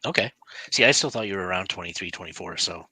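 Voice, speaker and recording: A man's voice: low-pitched, fast, sharp tone, breathy edge, dry recording, poor audio. A Canadian man hosting an interview, asking easygoing questions. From Calgary, Canada. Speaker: host. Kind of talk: casual interview chat.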